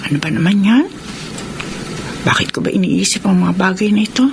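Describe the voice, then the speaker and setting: A woman's voice: medium pitch, brisk, creaky, natural voice. Woman acting earnest mature widow, noisy track. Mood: troubled, musing. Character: gentle mature widow, dutiful, conflicted caregiver. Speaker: radio drama actress. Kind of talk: Tagalog radio melodrama acting.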